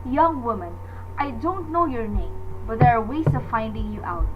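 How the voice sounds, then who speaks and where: A woman's voice: on the high side, expressive, normal speed, lightly acted. An actress playing a nervous, imperious married woman in a suspense radio drama, indignant, demanding and melodramatic as panic takes hold. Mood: haughty, outraged. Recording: dull lo-fi recording with faint noise.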